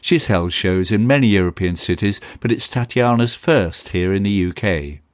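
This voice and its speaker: A man hosting a podcast, reading a polished script and then interviewing a guest in a measured way. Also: on the low side, animated. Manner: measured, polished presenter delivery. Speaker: podcast host.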